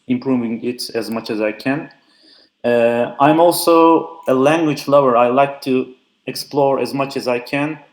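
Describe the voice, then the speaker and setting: Young man, mid-pitched and loose, talking shop over an echoey remote feed. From Turkey. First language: Turkish. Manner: frequent "uh" fillers, loose and chatty. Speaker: conference speaker. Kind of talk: casual technical talk. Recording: echoey remote call audio.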